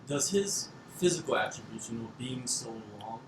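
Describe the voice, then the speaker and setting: A man's voice: mid-range voice, expressive, moderate pace. A man asking casual interview questions, building them up in short phrases. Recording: echoey room with clear background noise.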